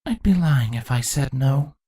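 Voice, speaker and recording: A man voicing a weary former changeling queen, female, who speaks in a dry, measured, firm way for a storybook reading. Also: mid-range voice, lively intonation, warm tone, breathy edge, voice pushed up. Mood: guarded.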